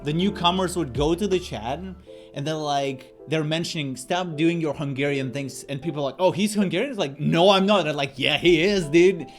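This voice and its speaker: A man's voice: on the high side, normal speed. A man guesting on a casual chat over a voice call, bantering and swearing as he tells stories. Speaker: guest streamer. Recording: voice call with lofi music behind. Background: lofi music.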